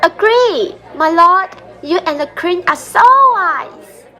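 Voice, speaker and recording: A woman playing an excitable, emphatic young Athenian woman in an amateur radio drama. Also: very high voice, slow, some chatter behind, heavily acted. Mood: excited.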